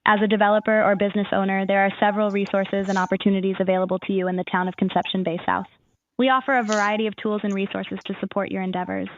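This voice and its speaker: Fast phone-like female AI assistant. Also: medium pitch, level tone, a little hiss or hum. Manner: formal, measured customer-service phrasing. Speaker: AI voice assistant for a town's services. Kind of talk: scripted, formal informational answers.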